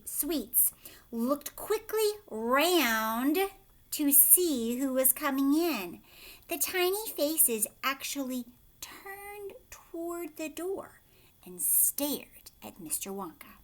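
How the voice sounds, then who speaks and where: A woman teacher reading a storybook aloud expressively and explaining it simply to children, often with questions. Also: sits high.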